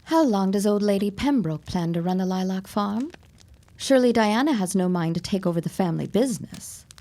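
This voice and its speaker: A woman's voice: mid-range voice, animated, a little fast, mellow, close to an everyday voice. An actress playing a sly, worldly woman in a period audio drama, coaxing gossip out of people with arch, theatrical charm. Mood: arch, probing. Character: sly, worldly woman pumping neighbours for gossip over gin. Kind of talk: period radio-drama acting.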